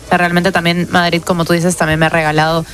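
A young woman from Ecuador, speaking warmly and gratefully on a radio show. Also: fairly low pitch, flat delivery, fast talker. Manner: warm, grateful. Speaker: radio contributor.

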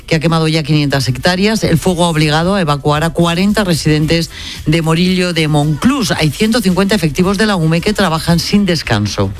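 A woman anchoring a radio news bulletin, reading in a measured, formal way. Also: low voice, expressive, over music.

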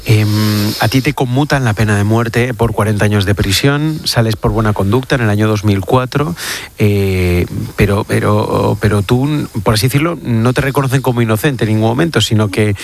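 A man interviewing in a conversational style, speaking in measured phrases with hesitant pauses. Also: on the low side, gravelly.

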